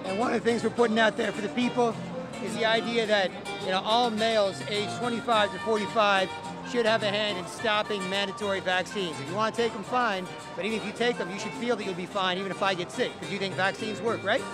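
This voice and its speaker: High-pitched man, activist speaker, music behind. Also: steady tone, fast talker, low-quality recording. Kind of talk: impassioned street speech and informal interview.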